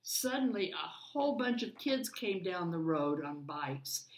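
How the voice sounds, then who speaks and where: A woman in her sixties reading a children's story aloud in an expressive, deliberate way. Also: on the low side. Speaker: storybook reader. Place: home dining room, indoors. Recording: roomy echo, distant room microphone.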